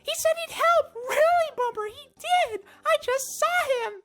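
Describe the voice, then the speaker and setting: Excitable cartoon girl mouse, played by a man, piercingly high squeal, babbling, over music. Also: unhurried, exaggerated voice. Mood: excited pleading.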